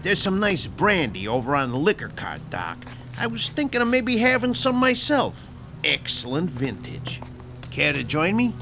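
An actor playing a gruff 1930s Chicago mob boss with a Chicago accent, swearing and barking orders. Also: male voice, sits high, huge pitch swings, normal speed, exaggerated voice. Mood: sly hospitality. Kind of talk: audio drama acting. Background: music score.

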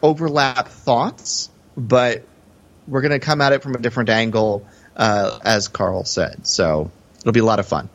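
A man's voice: mid-range voice, a little slow. A man co-hosting a podcast, giving casual analysis of a film. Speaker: podcast co-host. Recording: dull, lo-fi sound.

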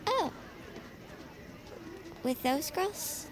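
A woman's voice: high-pitched, sing-song, very slow, noisy background, full character voice. A young actress playing an eager, earnest teenage girl in naturalistic audio drama dialogue. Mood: awkward, hurt.

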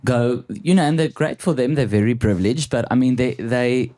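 Afrikaans-accented man with a dark voice. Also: mid-range voice, normal speed, a little hiss or hum. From South Africa. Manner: chatty storyteller, laughs often. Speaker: host. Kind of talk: casual chat.

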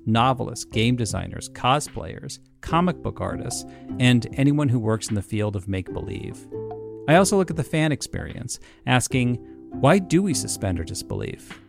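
A man with a creaky voice reading a scripted podcast promo as its host. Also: mid-range voice, big pitch swings, moderate pace. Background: music.

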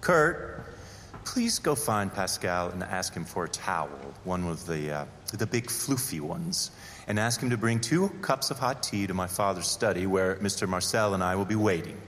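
Genteel rich heir, echoing hall. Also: male voice, deep voice, expressive, normal speed, fairly bright tone. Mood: hosting, polite. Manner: polite, refined, airy. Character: genteel, wealthy male heir, courteous and smug. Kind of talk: audio drama acting. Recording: long echo of big hall, ambient noise.

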